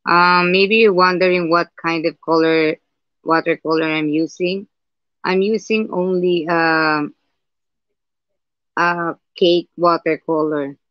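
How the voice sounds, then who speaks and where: A woman with a husky voice hosting a live painting tutorial, talking slowly and hesitantly with many fillers and restarts. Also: on the low side, fairly even intonation, no echo. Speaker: art livestream host. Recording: dull lo-fi livestream audio.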